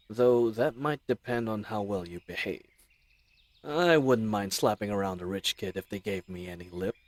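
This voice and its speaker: A man's voice: lowish voice, no echo. A man playing a chatty, roguish bandit leader in an audio roleplay, with folksy slang and teasing mock courtesy. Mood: casual, reassuring. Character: roguish, chatty, friendly male bandit leader, mockingly polite. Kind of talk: scripted audio roleplay addressed to the listener.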